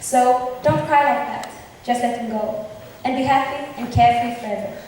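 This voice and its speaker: A woman's voice: a little high, normal speed, a little mellow, lightly acted. A woman acting male roles in an amateur school production of a modernized Shakespeare comedy. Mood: reciting. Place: large echoey indoor hall. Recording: very echoey hall from far off, hissy.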